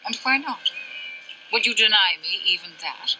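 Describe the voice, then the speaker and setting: A woman playing a sharp-tongued, weary, dying older mother in a video game, with haughty, theatrical, genteel phrasing. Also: medium pitch, animated, normal speed, a little hiss or hum, rough recording. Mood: indignant. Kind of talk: dramatic scripted game dialogue.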